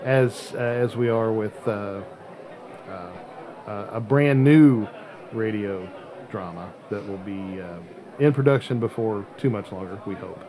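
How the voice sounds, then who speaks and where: A man hosting a casual game chat, explaining things in a wordy, precise, halting way. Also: fairly low pitch, dark tone. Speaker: host of a hobby game podcast.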